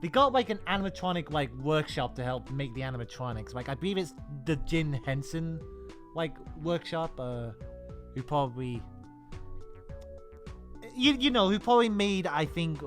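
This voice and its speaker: Rambling higher-voiced man co-hosting, music behind. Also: animated, normal speed. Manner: rambling, stop-start delivery. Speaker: podcast co-host. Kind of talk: casual chat about video game films.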